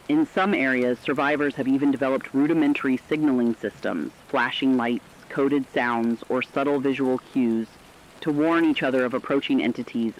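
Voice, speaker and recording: A man's voice: mid-range voice, close to an everyday voice. A man playing an earnest field correspondent, delivering a formal, articulate scripted emergency report for a radio drama. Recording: slightly dull lo-fi radio sound.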